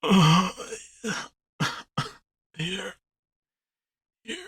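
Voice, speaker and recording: A man playing a nauseous companion in a fantasy audio roleplay, hoarse and sick to his stomach. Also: high-pitched, little pitch movement, extremely slow, a bit bright, heavily acted. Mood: queasy. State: nauseous, coughing. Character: queasy fantasy companion about to vomit.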